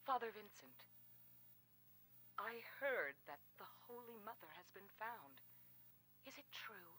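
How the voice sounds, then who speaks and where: An actress playing a devout, uneasy religious sister in a video game, speaking solemnly and reverently. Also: female voice, mid-range voice, expressive, takes it slowly, a bit bright, slightly rough, exaggerated voice, poor audio. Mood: hopeful, devout. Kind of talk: dramatic game dialogue.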